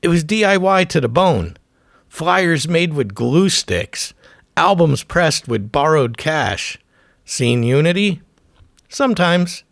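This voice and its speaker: Gravelly male documentary narrator, animated and punchy. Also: fairly high pitch, slow pace, no room sound, studio quality. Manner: emphatic short punchy phrases. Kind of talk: scripted narration, punchy and profane.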